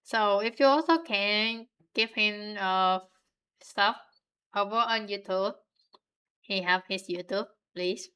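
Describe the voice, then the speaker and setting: A young woman from Vietnam with a Vietnamese accent, chatting to viewers in a relaxed, giggly way while she draws on stream. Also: medium pitch, slow pace, clean tone. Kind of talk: casual stream chat while drawing.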